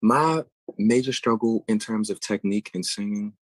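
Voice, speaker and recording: A man's voice: fairly low pitch, moderate pace, dry recording. A man, a singer answering interview questions and explaining vocal technique thoughtfully and at length. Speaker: guest singer.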